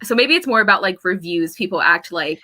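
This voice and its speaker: A woman's voice: lowish voice, animated, a little fast, pushed and bright. A woman co-hosting a casual book discussion, thoughtfully qualifying her opinions as she goes. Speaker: co-host on a book discussion livestream.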